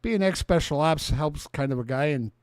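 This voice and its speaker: Guy in his 60s hosting a chatty livestream, voice a bit high, close dry sound. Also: moderate pace, clean tone, dry recording. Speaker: talk show host. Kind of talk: casual political livestream chat.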